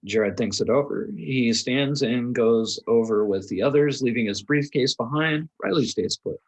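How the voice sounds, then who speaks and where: Husky-voiced man reading stage directions. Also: mid-range voice, moderate pace, poor audio. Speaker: reader of stage directions at a table read. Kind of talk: scripted narration, read aloud.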